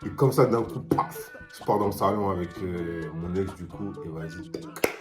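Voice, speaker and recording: A man's voice: sits low, normal speed. A tipsy man in his twenties, telling stories and teasing in laid-back slang. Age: 20s. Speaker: guest. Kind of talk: casual drinking game chat. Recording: echoey room with music behind.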